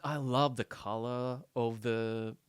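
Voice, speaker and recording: A man with a Japanese accent chatting casually as a podcast host, enthusiastic, in halting, repetitive non-native English. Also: mid-range voice, steady tone, clear, resonant tone, dry recording. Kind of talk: casual chat.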